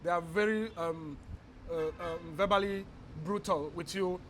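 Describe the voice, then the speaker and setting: Animated man with a Beninese French accent. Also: high voice, a little slow, slight background noise. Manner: animated, acts out bus-stop calls. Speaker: guest, company founder. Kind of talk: storytelling interview.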